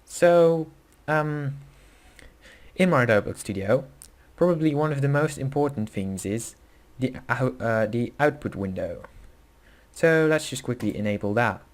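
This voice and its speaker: A man's voice: mid-range voice, lively intonation, a little slow. Dutch-accented man explaining casually. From Netherlands. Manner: casual, hesitant, many fillers and self-corrections. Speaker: tutorial host. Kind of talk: screen-recorded coding tutorial.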